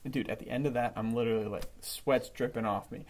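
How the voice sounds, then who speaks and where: A man co-hosting a podcast in casual, informal chat, jokey and quick to chuckle. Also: mid-range voice, steady tone. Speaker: podcast co-host.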